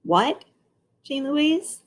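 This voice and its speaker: A woman's voice: sits high, very animated, drawn-out words, voice pushed up, heavily acted. A woman voicing a prim, impatient female schoolteacher in a storybook. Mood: puzzled.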